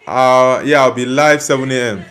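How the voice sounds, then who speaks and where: A man's voice: mid-range voice, fairly even intonation, moderate pace, fairly bright tone, smooth. A young man from Nigeria hosting a live stream, casually reading viewer comments aloud and answering them bluntly. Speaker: livestream host reading chat.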